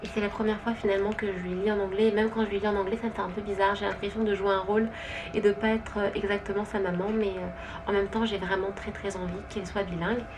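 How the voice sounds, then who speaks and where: A young woman with a Quebec French accent, chatting gently through a casual haul vlog at home, thinking aloud as she goes. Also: medium pitch, level tone, fast talker. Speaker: vlogger and stay-at-home mother. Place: at home with her baby asleep nearby.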